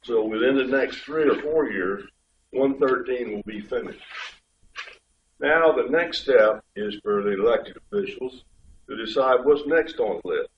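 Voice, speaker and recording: A man's voice: medium pitch, unhurried, distant mic. A man with a head cold lays out his points in a plain, step-by-step way at a community board meeting. He sounds set back from the microphone in an echoey meeting room. The pickup is dull, with a faint hum underneath. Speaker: state highway official. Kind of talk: explaining at a public board meeting.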